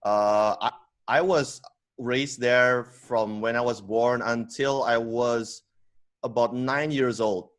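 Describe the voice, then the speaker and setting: A man in his thirties who grew up in Indonesia speaks as a podcast guest at a middle pitch. He talks in a halting way, full of fillers and restarts, and laughs easily as he tells his story. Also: steady tone, fairly bright tone. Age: 30s. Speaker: podcast guest, company co-founder. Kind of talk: casual interview storytelling.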